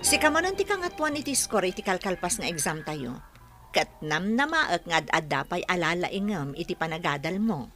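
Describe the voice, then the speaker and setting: A woman's voice: fairly low pitch, big pitch swings, moderate pace, sharp tone, soft background music. An actress with a Filipino accent playing a proud, encouraging female teacher in a radio drama.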